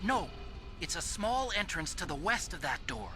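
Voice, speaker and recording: A very high-pitched male computer expert, earnest, hesitant and gentle. It is video game drama with music clearly behind the voice. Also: very animated, normal speed, heavily acted. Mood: helpful, eager. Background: game score.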